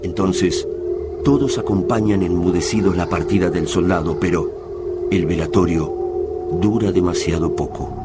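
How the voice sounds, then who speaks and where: Grave story narrator, deep male voice, Argentine accent, dramatic radio play delivery, loud music underneath. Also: unhurried. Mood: grave, ominous. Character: grave male narrator of a science fiction war tale.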